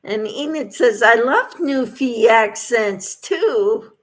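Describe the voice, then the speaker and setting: A woman's voice: fairly high pitch, lively intonation, slow. A woman hosting a casual livestream, reading out viewers' comments and replying to them in an encouraging way. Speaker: livestream host.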